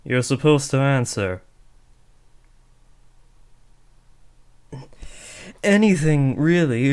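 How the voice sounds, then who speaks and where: A man's voice: a little high, a little mellow, clean tone, close to an everyday voice. A young man playing an awkward, lovestruck teenage would-be supervillain in a comedic audio roleplay, switching between theatrical menace and hesitant rambling. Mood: earnest, nervous. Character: awkward lovestruck teenage boy, aspiring supervillain, eager, insecure. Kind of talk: comedic roleplay audio drama addressed to the listener.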